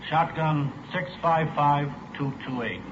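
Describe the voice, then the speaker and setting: An actor playing a plain-spoken, methodical police clerk in a 1940s radio drama, matter-of-fact in manner. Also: male voice, fairly high pitch, slow pace. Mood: businesslike. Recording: noisy, muffled 1940s radio recording.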